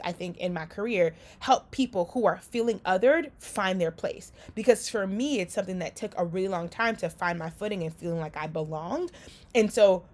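A woman from Liberia giving casual career coaching, talking conversationally with frequent "right?" and quick restarts. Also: mid-range voice. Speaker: career coach and company founder. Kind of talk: casual coaching talk to an audience.

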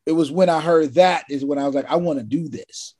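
Relaxed male podcast host. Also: fairly high pitch, fairly quick, no echo, studio quality. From California, United States. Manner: relaxed, easygoing, short agreements. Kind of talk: casual interview chat.

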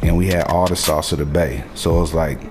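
A man from Portland with a deep voice, talking in a laid-back, slangy way as a producer on a podcast and laughing often. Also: steady tone, brisk, darkish tone, faint music behind. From Portland, US West Coast. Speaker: music producer guest. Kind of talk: casual podcast interview.

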